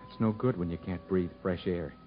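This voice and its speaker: Man playing a low-voiced, bitter radio-drama convict. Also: fairly even intonation, moderate pace, lightly acted. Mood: bitter, despairing. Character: bitter, despairing male convict, married, wary and proud. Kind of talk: 1950s radio drama acting. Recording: muffled 1950s radio broadcast with music.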